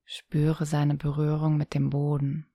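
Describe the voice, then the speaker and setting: A woman with a soft, breathy voice calmly guiding a relaxation meditation, soothing and instructional, with long pauses between phrases. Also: low voice, no echo, clean studio sound. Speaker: yoga nidra guide.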